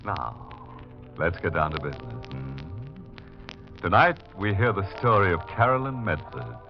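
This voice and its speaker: A man's voice: deep voice, very animated, measured pace, full character voice. An actor playing a ghoulish, mock-genteel horror host on a 1940s radio show, teasing and theatrical as he relishes the macabre. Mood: sly, ominous. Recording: muffled 1940s radio recording with music.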